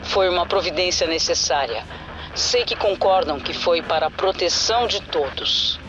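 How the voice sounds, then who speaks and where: Man playing a high, cold secret-society leader through noisy speaker. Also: expressive, normal speed. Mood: cold authority. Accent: Brazilian. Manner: formal, authoritative. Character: cold, commanding leader of a secret court, heard remotely. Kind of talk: audio drama acting. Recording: narrow-band speaker sound, clear noise.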